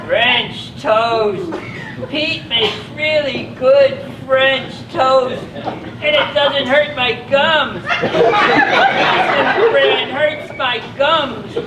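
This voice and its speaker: A woman acts an innocent, childlike adult resident with a high voice and unhurried pace. The manner is simple and literal. It is a stage play heard from far off amid loud noise. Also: expressive, a crowd in the background, full character voice. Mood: cheerful, simple. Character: childlike, food-loving adult psychiatric group-home resident. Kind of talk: live one-act stage play dialogue. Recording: echoey distant stage, loud audience noise.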